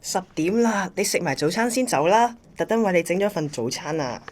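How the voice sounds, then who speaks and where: A woman's voice: on the low side, lively intonation, a little fast. A woman with a Hong Kong Cantonese accent playing an obsessively devoted young male courier in a radio drama. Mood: friendly, solicitous.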